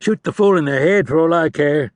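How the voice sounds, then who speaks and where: A man's voice: on the high side, fairly quick, dry recording, voice pushed up, lightly acted. Man playing a menacing gunslinger, scornful, raised voice, dry. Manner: threatening, scornful. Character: hard, menacing male gunslinger outlaw.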